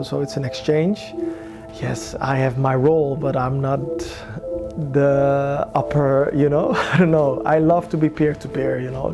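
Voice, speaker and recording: Gravelly-voiced man over music. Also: a little high. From Somalia. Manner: thoughtful, searching for words, non-native English phrasing. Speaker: choreographer. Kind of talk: reflective interview talk. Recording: music playing clearly behind.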